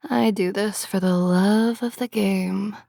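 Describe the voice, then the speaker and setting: A woman playing a shameless, seductive vampire socialite, sly and amused, in a dark comedy audio drama. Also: medium pitch, fairly even intonation, slow pace, vocal fry, no echo, clean studio sound.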